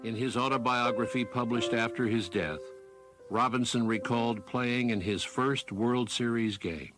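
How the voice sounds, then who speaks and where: A man reading scripted documentary narration in a solemn, even storytelling manner. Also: mid-range voice, a little slow. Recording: narration over music bed.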